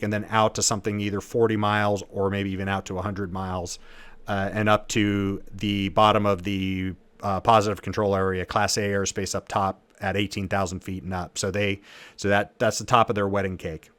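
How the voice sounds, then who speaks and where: A man's voice: lowish voice, little pitch movement, normal speed, a bit bright, smooth, no echo. A man teaching as an instructor in an informal, conversational way, explaining things step by step with technical jargon. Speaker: host and instructor of an aviation lesson.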